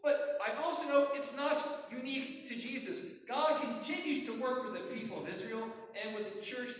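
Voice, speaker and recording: Man, pastor, sermon, high voice, wry conversational preaching, excitable, reverberant church, distant mic. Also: moderate pace. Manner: gets excited and hard to follow when preaching. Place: church sanctuary. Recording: echoey hall, voice from far off.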